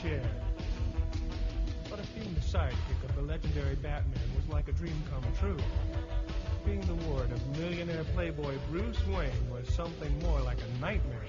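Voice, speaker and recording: A man's voice: a little high, moderate pace, lightly acted. A man playing a young sidekick hero who narrates his orphaned past in an earnest, theatrical comic book style. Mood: reflective. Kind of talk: dramatic first-person comic book narration. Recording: muffled audio, loud music underneath.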